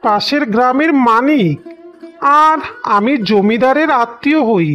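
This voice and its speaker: A woman voicing an earnest young gentleman suitor in a cartoon fairy tale, formal, polite and insistent. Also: on the high side, expressive, measured pace, soft background music. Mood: pleading.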